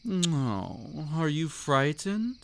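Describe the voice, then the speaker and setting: A man's voice: a little high, very animated, very slow, gravelly, fairly bright tone, a little hiss or hum, heavily acted. A man voicing a suave, predatory old vampire count in a scripted roleplay audio, with an aristocratic, silky, teasing and theatrical delivery. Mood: mocking, predatory.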